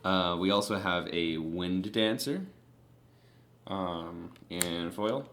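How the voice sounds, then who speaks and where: A young man with a fairly low voice talks through his card pickups in a loose, casual vlog style. He rambles happily, dotting his speech with ums and uhs as he goes. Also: takes it slowly, a bit bright, slight background noise. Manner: chatty and enthusiastic, many fillers. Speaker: vlogger showing trading cards.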